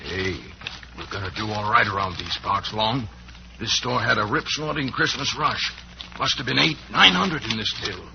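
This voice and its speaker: An actor playing a cocky, bossy crook who poses as a folksy rancher in an old-time western radio drama. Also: male voice, lowish voice, normal speed. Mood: cocky, scheming. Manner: folksy, smooth-talking, cocky. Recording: noisy, muffled old radio recording.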